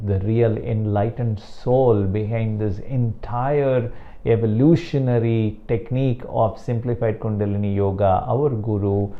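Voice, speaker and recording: An older man from South India with a Tamil-accented English, a meditation teacher speaking slowly and deliberately about his guru. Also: lowish voice, narrow, tinny audio. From Chennai, South India. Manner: slow, deliberate, emphatic on key words. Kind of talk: reflective talk to camera.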